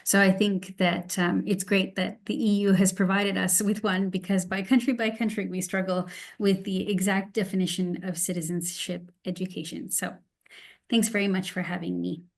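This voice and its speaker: A middle-aged woman with a Canadian English accent gives an online presentation as a guest speaker, halting and nervous, with frequent ums and restarts. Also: mid-range voice, flat delivery, gravelly. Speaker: guest speaker, Montessori teacher educator. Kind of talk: online presentation with shared slides. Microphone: video call.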